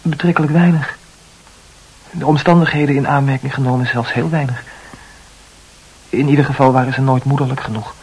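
An intense, self-absorbed suitor in a radio drama. He talks at length about himself in a slightly husky voice of middling pitch, confessional and halting as he picks over his own feelings. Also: fairly even intonation, darkish tone, poor audio. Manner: talkative, self-analysing, halting repetitions. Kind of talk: Dutch radio play acting.

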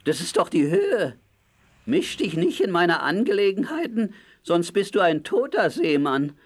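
An actor playing a tough, good-hearted sailor hero in a children's audio drama, boastful, muttering and full of exclamations. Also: male voice, high-pitched, wildly swooping pitch, a little slow, full character voice. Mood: grumbling.

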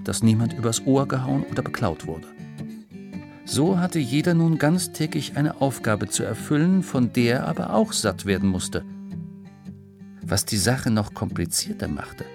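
Gravelly male narrator explaining for children. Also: mid-range voice. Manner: explanatory, storytelling delivery with rhetorical questions. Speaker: narrator of a children's educational audiobook.

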